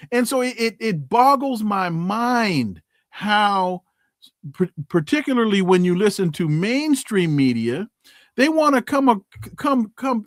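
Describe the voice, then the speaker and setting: A man's voice: high-pitched, fairly bright tone, no room sound, studio quality. A man guesting as a political scientist on talk radio, giving impassioned commentary with frequent restarts.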